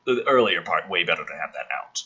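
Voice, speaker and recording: A man giving exasperated, sweary commentary on a script he is reading aloud, with theatrical outbursts and yelling. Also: sits low, expressive, brisk, slightly rough, dull audio. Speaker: host reading a film script draft aloud with angry commentary. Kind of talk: ranting commentary mixed with dramatic script reading.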